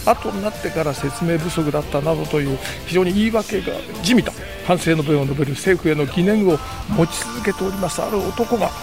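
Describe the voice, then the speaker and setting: A man's voice: a little fast, gravelly, few pauses, over music. Chatty older man hosting outdoors. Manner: polite, chatty, speaks in a lowered voice. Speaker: podcast host. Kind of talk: casual chat on location. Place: outdoors on a street, then an indoor gallery. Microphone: handheld mic held out by a staffer.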